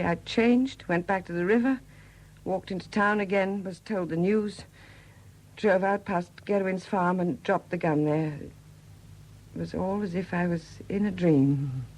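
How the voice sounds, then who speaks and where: An actress in a 1970s British radio drama playing a widowed murderess who confesses in a hesitant, confiding manner. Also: female voice, medium pitch, a little mellow, a little hiss or hum, lightly acted, poor audio. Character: quiet confessing murderess, a Welsh sculptor's widow.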